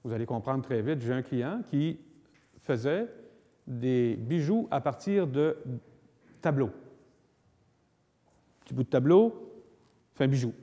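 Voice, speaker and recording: A man's voice: medium pitch, animated, takes it slowly, pauses often, dark tone. A man with a Quebec French accent lecturing like a lawyer, explaining didactically with emphatic repetition and acted-out examples. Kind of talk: public lecture on law with spoken examples. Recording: long echoing hall reverb.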